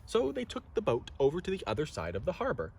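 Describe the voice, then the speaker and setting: A man reading a children's story aloud expressively, with dramatic pauses and asides to listeners. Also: a little high, wildly swooping pitch, creaky, breathy edge. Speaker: storybook reader.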